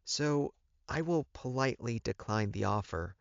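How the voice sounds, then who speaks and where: Man putting on an anxious, high-pitched woman. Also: lively intonation, moderate pace, vocal fry, smooth, no room sound, highs cut off. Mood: anxious, declining. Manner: hesitant, polite. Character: anxious, apologetic young woman shopper.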